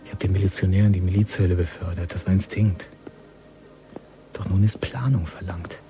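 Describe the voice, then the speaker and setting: A killer thinking through his plan in a radio thriller. His voice is low and brooding, cold and resolved, with music underneath. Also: steady tone, a little fast. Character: cold brooding killer plotting, inner thoughts. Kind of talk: radio drama monologue.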